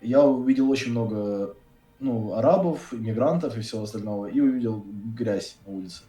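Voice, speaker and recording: Fast-talking blunt young man, echoey room. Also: medium pitch, dark tone, soft background music. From Saint Petersburg, Russia. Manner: quick, blunt, swears casually. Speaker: university economics student. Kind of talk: casual video chat. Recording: echoey room, far from the mic.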